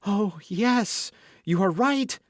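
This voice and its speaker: A man's voice: medium pitch, lively intonation, moderate pace, no room sound, studio quality, pitched above the performer's own voice, natural voice. A man voicing an anxious young married woman in a period adventure audiobook, speaking in earnest, formal phrases. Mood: frightened, urgent. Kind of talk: audiobook character dialogue.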